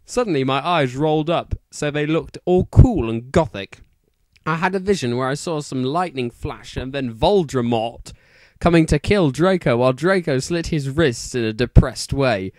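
A man's voice: medium pitch, lively intonation, normal speed, a little mellow, no room sound. A man narrating fan fiction as an exaggerated, mock-serious dramatic reading.

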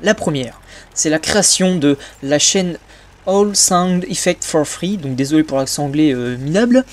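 A man's voice: a little high, expressive, normal speed. A young man hosting his own gaming channel, giving a casual, hesitant update with many filler sounds. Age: young adult. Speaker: YouTube gaming channel host.

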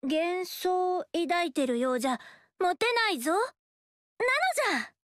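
A woman's voice: very high voice, sing-song, a little slow, fairly bright tone, no room sound, studio quality, heavily acted. A woman playing a playful, curious young noble girl with old-fashioned, princess-like speech in a game. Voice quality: archaic princess-like speech, childlike inquisitive. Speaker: game character. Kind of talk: game story dialogue.

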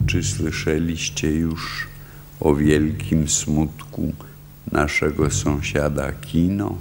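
Very deep, gravelly solemn elder. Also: male voice, flat delivery, takes it slowly, noisy background, exaggerated voice. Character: solemn village elder leading a chorus. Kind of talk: radio play acting, solemn narration.

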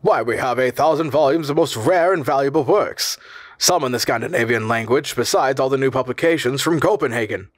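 Man voicing a courteous, proud scholarly professor, lifted pitch. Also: brisk, no echo, natural voice. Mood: proud, earnest. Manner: formal, earnest, eloquent.

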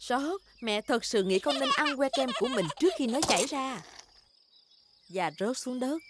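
Kindly cartoon pig mum, practical, fairly high voice, loud soundtrack noise. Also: female voice, lively intonation, normal speed. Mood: coaxing. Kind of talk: children's cartoon dubbing.

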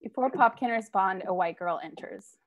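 A woman's voice: mid-range voice, normal speed, phone-quality sound, a little hiss or hum. A woman in her thirties reads scripted stage directions aloud at a table read, on an earbud headset over a video call from home. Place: living room at home.

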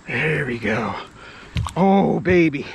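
A man's voice: on the high side, lively intonation, takes it slowly, slight background noise. A man filming himself fishing from a kayak on a pond, exclaiming and talking to the fish as he lands it, recorded outdoors on a head-mounted camera. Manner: breathless exclamations, talks to the fish. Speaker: fishing vlogger. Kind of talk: excited self-filmed fishing commentary. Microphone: head-mounted action camera.

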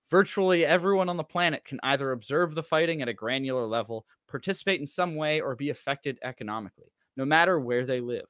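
A man's voice: medium pitch, no room sound. Man hosting a livestream, dry close sound. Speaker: show co-host reading and commenting on an article. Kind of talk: casual political livestream chat with article read aloud. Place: indoor room at home, livestream video call.

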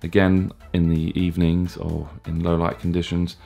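Tech reviewer, male, 30s: very low, gravelly and chesty from a cold, laid-back with lots of ums, faint music underneath. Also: normal speed. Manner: relaxed, plain talk with frequent fillers and restarts. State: chesty, deep hoarse voice from a cold. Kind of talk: casual product review.

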